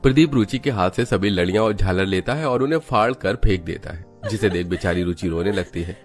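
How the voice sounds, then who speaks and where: A man's voice: sits low, a little fast, faint music behind. A man reading scripted narration for a children's moral story.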